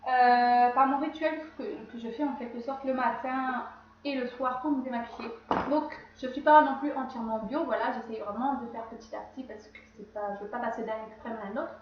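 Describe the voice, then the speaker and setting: A woman's voice: fairly high pitch, steady tone, fairly quick, a little hiss or hum. A woman with a cold chatting casually through her skincare routine, rambling and often correcting herself. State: has a cold. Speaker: beauty vlogger. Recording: very echoey room, slightly dull sound.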